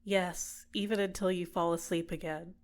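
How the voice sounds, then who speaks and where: A woman playing a tenderly possessive, manipulative villain captor in an intimate ASMR roleplay, speaking in a soft, soothing, deliberate way. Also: on the low side, steady tone, close to an everyday voice. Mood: warm, coaxing.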